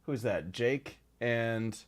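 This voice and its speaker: A man's voice: mid-range voice, very slow. A man hosting a casual video chat, talking in a rambling, hesitant way with lots of fillers. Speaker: podcast host.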